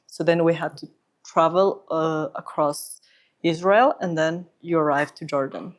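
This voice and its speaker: A woman's voice: low voice, measured pace. A woman from Ecuador with an Ecuadorian Spanish accent, telling personal stories as an interview guest. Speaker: podcast guest, humanitarian psychologist.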